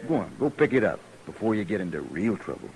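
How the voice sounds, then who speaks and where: A man's voice: fairly low pitch, very animated, fast talker, creaky, very noisy. An actor playing a menacing, bullying gunman in a 1950s Western radio drama, taunting and sneering as he talks. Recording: old radio drama with background crowd sounds.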